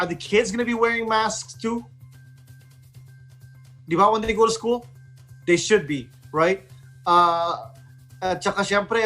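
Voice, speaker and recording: A man's voice: high voice, fairly bright tone. A man with a Filipino accent hosting a casual radio discussion, speaking hesitantly and switching between English and Tagalog. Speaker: online radio host.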